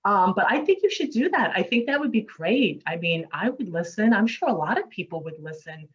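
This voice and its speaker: A woman with a New York accent hosting a casual interview, chatty and encouraging and quick to laugh. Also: mid-range voice, big pitch swings. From United States. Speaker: host. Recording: muffled lo-fi audio.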